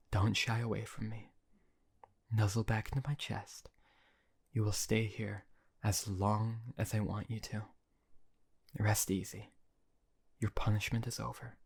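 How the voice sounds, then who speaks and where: A man's voice: lowish voice, creaky, a little mellow, slightly rough, full character voice. A man playing a possessive, cruel yet doting male emperor in an audio roleplay, speaking in a silky, condescending way that often drops to a whisper. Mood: gloating.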